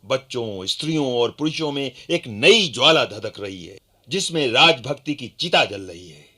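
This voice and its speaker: An actor playing an earnest Indian freedom-era traveller in a Hindi radio play, telling of uprisings in an animated, emphatic way. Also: male voice, mid-range voice, normal speed. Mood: stirred. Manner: animated, emphatic, declamatory when quoting. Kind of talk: Hindi radio play dialogue, dramatic storytelling.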